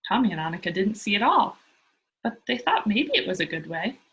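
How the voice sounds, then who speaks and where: A woman reading a children's storybook aloud in an expressive narrating style. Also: mid-range voice, brisk, breathy edge. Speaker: storybook reader.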